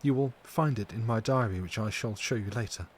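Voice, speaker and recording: A man reads the part of a troubled young wife in a dramatic audiobook. She recounts earnestly and formally, at a measured pace, with tenderness and courage. There is light background noise. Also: lowish voice, natural voice. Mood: troubled recounting. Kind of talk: dramatic audiobook character reading.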